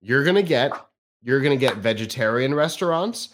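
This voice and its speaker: A man co-hosting a casual talk show, making his points with wry emphasis. His pitch moves a lot, rising and falling as he argues. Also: lively intonation, moderate pace, a bit bright, a little hiss or hum. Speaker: podcast co-host. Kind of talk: casual chat.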